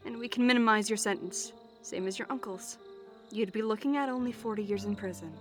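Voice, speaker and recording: A woman's voice: medium pitch, fairly quick, faint music behind, lightly acted. An actress playing a tough, blunt female knight in a fantasy audio drama. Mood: measured, persuasive.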